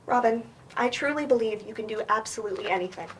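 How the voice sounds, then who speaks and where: A woman reading the part of a patient, earnest therapist in a staged play, reassuring and gently firm. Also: a little high, a little hiss or hum, close to an everyday voice, low-quality recording. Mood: encouraging, gently firm. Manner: reassuring, measured. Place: library event room, staged reading.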